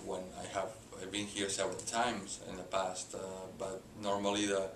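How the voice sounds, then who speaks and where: Man, Spanish accent, middle-aged, halting speech, lots of fillers, echoey room, background hiss. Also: deep voice, completely flat delivery, fairly bright tone. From Spain. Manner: halting, many filler "uh"s and restarts. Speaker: company president, interview guest. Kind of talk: hesitant unscripted interview answers.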